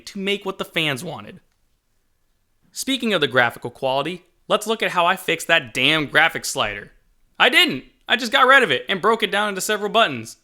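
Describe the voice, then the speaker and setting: A man's voice: a little high, pushed and bright. A man narrating a scripted game development update in an emphatic, conversational manner, as the host of the video.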